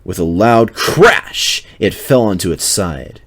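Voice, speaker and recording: A man's voice: sits low, expressive, slow, a bit bright. A man reading a children's story aloud as narrator, in a scripted, dramatic storytelling style.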